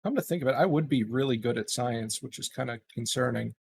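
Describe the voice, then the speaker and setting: A man chatting casually as a player in a tabletop game, asking things in a halting, questioning way. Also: medium pitch, creaky, a little hiss or hum.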